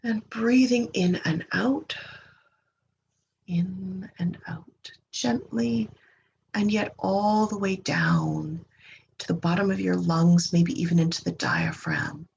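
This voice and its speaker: A woman leading a guided meditation in a gentle, unhurried manner, leaving long pauses and trailing off breathily at the ends of phrases. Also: fairly low pitch. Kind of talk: guided meditation, slow soothing instruction. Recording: dull lo-fi recording with clear background noise.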